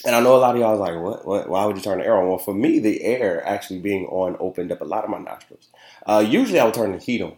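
A man's voice: lowish voice, fast talker, a little mellow. Congested, rambling podcast guy. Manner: rambling and chatty, keeps asking "you know what I'm saying". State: nasal and congested. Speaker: podcast host. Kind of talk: casual solo podcast chat. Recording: roomy, echoey room sound.

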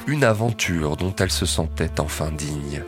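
A man's voice: a bass voice, expressive, normal speed, music in the background. A man playing a mock-heroic storyteller, narrating a comic audio drama in a grandly dramatic, scripted style. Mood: grand, wry.

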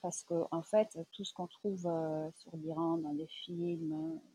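A woman's voice: sits low, steady tone, takes it slowly, mellow, husky, poor audio. A middle-aged woman from Iran with an Iranian accent, speaking hesitantly as a journalist giving a reflective interview and searching for her words. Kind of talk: reflective spoken interview testimony.

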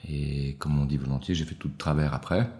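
A man, a guest answering interview questions in a reflective way, often pausing to search for words. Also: extremely low pitch, steady tone, warm tone. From Switzerland. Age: 40s. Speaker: guest, watch collector. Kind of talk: casual interview conversation.

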